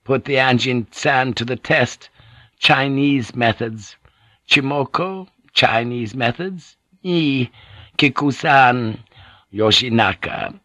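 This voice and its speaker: A man's voice: medium pitch, slow, dry recording, pitched above the performer's own voice. A man playing a shrewd, deferential Japanese businesswoman in an audiobook, courteous and insistent. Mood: bitter, urgent. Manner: flattering, insistent, humbly courteous.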